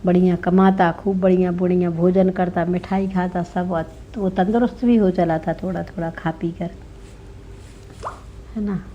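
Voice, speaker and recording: A woman's voice: fairly low pitch, fairly even intonation, unhurried, slight background noise. A woman narrating a folk tale informally, in a conversational way that checks in with the listener. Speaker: storyteller. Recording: dull lo-fi recording.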